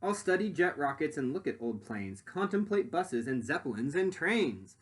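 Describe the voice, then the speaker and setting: Guy reading as a proud eager kid inventor in a storybook, swoopy and excited, kinda high. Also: normal speed. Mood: confident. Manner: excited, showman-like presenting with swooping emphasis. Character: eager, boastful young boy inventor dreaming up a car.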